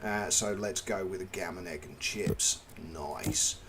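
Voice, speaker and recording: A man's voice: low voice, a little slow, very noisy. An English man giving casual live commentary as he plays a management game, talking through each step and chatting with viewers. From England. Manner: chatty, narrates each click, greets chat. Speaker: game streamer. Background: game music.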